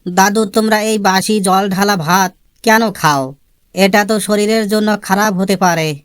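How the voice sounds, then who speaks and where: A woman's voice: mid-range voice, fairly even intonation, a little slow, clear, resonant tone, no room sound, lightly acted. Naive cartoon village youngster played by a woman: mid-range for a female voice, clean and dry, questioning. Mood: doubtful. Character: naive, doubtful young village lad, eager to learn. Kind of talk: comic cartoon dialogue.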